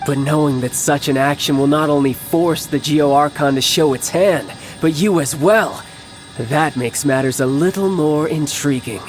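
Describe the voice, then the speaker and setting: An actor playing a cocky, battle-hungry young villain in a video game cutscene, speaking in a measured, smug and theatrical way with formal phrasing. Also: male voice, fairly high pitch, soft background music, natural voice. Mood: scheming, menacing.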